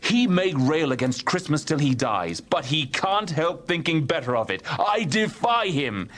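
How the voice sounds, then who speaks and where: A man's voice: on the high side, sing-song, measured pace, fairly bright tone. A man playing a jovial, good-hearted young Victorian nephew with a hearty, chuckling manner in an audiobook drama. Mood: warm, merry.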